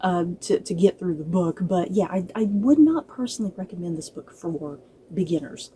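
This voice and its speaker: A woman's voice: lowish voice, animated, normal speed, mellow, breathy edge. A woman giving a casual, conversational review to viewers, hesitant at times but emphatic about her opinions. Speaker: book and film reviewer.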